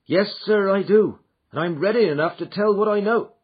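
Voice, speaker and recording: A man reading an audiobook voices a blunt, plainspoken housekeeper woman, with a husky tone. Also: high-pitched, moderate pace, phone-quality sound, pitched above the performer's own voice. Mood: earnest. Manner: blunt, earnest.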